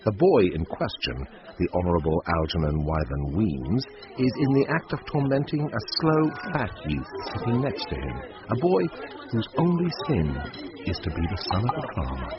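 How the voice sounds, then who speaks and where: A low-voiced, wryly formal storyteller for a radio mystery. His delivery is literary and nicely varied. The recording is muffled, with faint noise. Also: very animated, moderate pace, crowd noise. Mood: dry, measured. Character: measured, wry narrator of a school murder mystery. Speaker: narrator. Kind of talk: scripted radio drama narration. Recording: muffled, lightly noisy old radio recording.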